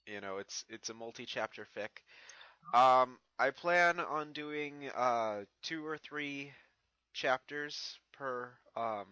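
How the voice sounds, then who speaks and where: A man's voice: medium pitch, slow pace, bright, forceful tone, clean tone, dry recording, dull audio. A man who reads fan fiction aloud, in casual introductions and narrated story passages.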